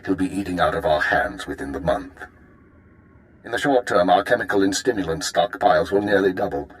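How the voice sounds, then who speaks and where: A sci-fi game intelligence chief. His voice is low and authoritative, with a formal, measured delivery. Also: expressive, low-quality recording. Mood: satisfied. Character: composed, authoritative male imperial spymaster.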